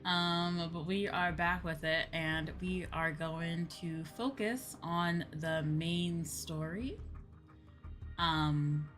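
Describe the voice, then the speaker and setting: A woman's voice: fairly low pitch, fairly even intonation, extremely slow, a bit bright. A woman livestreamer chatting casually to her viewers in a rambling, repetitive way. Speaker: VTuber streamer. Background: background music.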